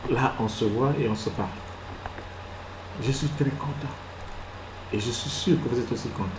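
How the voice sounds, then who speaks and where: A man preaching a sermon as pastor. He fires rhetorical questions, moves between soft asides and loud exclamations, and acts out little scenes. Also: mid-range voice, normal speed, roomy sound, background noise. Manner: acts out little scenes and laughs at his own jokes.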